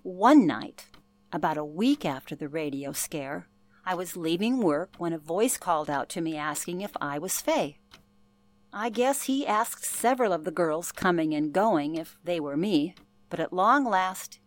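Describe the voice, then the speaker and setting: A woman's voice: fairly low pitch, lively intonation, a little slow, dry recording. An actress playing an older former telephone operator, telling her past in an earnest, genteel way for a radio play. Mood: storytelling. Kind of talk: radio play, interview-style reminiscence.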